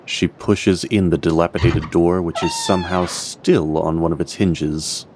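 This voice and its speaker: A man narrating a scripted fantasy story in a measured, literary way. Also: low-pitched, steady tone, noisy background.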